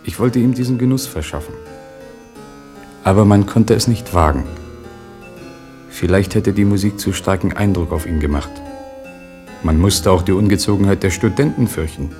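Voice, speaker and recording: An actor playing an earnest acquaintance giving sober, measured testimony in a radio drama, in a husky voice. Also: male voice, low-pitched, darkish tone, faint music behind, natural voice. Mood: regretful, earnest. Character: earnest male acquaintance recalling a mad poet.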